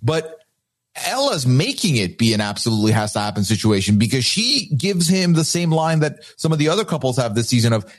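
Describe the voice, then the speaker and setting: A man's voice: mid-range voice, sing-song, brisk. A man from Dubai co-hosting a casual podcast, recapping a reality show with emphatic, opinionated remarks. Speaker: podcast co-host.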